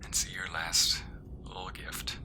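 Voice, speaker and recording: Man acting a very low-voiced, tender boyfriend. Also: monotone, unhurried, whispered. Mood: hushed, tender. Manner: intimate, earnest, often hushed and breathy. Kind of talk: ASMR romantic boyfriend roleplay.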